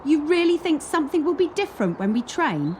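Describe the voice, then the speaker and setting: A woman's voice: sits high, big pitch swings, a little fast, darkish tone, a little hiss or hum. A young woman playing a wary, wounded gymnast in a radio play, with a wry, self-mocking and wistful manner. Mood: wry, doubting.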